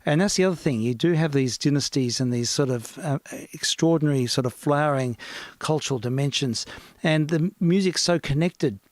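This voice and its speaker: A man with an Australian accent hosting a casual radio chat, speaking thoughtfully with many pauses, fillers and restarts. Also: a little high, no echo.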